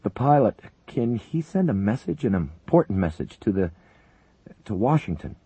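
A man's voice: fairly low pitch, very animated, normal speed, mellow, breathy edge, dry recording, dull audio, pitched above the performer's own voice, exaggerated voice. A man voicing a frightened young male agent who pleads anxiously in a raised voice. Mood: pleading.

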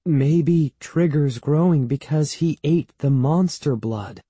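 A man's voice: on the high side, takes it slowly, warm tone, slightly rough, no echo, voice pushed up. A man voicing a teasing, practical young girl in a matter-of-fact manner for a children's storybook. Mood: suggesting. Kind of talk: children's audiobook character voice.

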